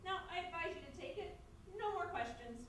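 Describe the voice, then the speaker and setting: A woman playing a brisk, shrewd, commanding theatre manager in a stage comedy. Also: extremely high pitch, animated, a bit bright. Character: brisk, bossy female theatre manager and fixer. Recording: very echoey hall, distant mic, noisy.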